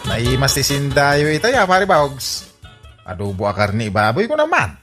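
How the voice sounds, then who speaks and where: A man's voice: medium pitch, very animated, unhurried, a bit bright, soft background music. A man playing a neighbor who teases his friend in an Ilocano radio drama. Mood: teasing. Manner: joking, ribbing. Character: teasing neighbor Map.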